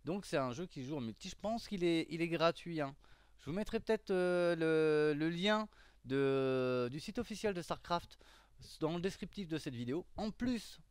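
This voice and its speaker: Middle-aged male game presenter, chatty and repetitive for emphasis, slightly high register, indoor headset recording with backing music. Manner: conversational, repeats words and phrases for emphasis. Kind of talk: casual game showcase commentary.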